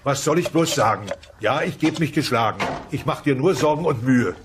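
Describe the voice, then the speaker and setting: A man's voice: mid-range voice, moderate pace, noisy background, natural voice. A man playing a blustering, clueless dinosaur husband and father in a puppet sitcom dub, defensive and wheedling. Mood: wheedling, sheepish. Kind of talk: dubbed puppet sitcom dialogue.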